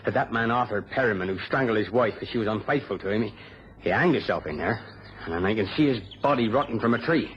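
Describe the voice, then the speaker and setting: A plain-spoken countryman with a fairly low voice. He is guarded and halting, repeating his words. The old radio drama recording is dull, with faint noise. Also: lively intonation, a bit bright. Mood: uneasy, confiding. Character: plain-spoken countryman, head marker on a shooting estate. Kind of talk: mid-century British radio drama acting.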